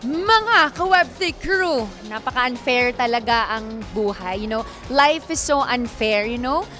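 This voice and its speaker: A woman's voice: on the high side, lively intonation, unhurried. A woman from the Philippines with a Filipino accent hosting an event interview, playful and giggly, switching between English and Tagalog. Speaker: interview host. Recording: music playing loudly behind.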